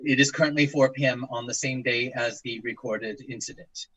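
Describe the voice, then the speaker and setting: An actor playing a formal, methodical investigator of strange events, speaking in a clinical, hesitant, measured way in an audio drama. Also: male voice, mid-range voice, fairly even intonation, a bit bright, husky, poor audio. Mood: probing, polite. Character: formal, methodical paranormal investigator, male, narrating case tapes. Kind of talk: audio drama, scripted case-file narration and interview.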